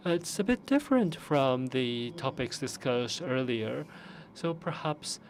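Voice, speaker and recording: A man giving simultaneous interpretation of conference speeches, measured and pausing often to restart. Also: mid-range voice, sing-song, slight background noise. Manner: measured, with frequent pauses and restarts.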